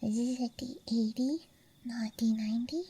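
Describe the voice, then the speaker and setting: A woman whispering softly and breathily in an ASMR style, murmuring gently as if to herself, close to the microphone. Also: fairly high pitch, normal speed, slightly rough. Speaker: ASMR streamer playing a dice goblin persona. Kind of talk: ASMR, soft whispering to the listener.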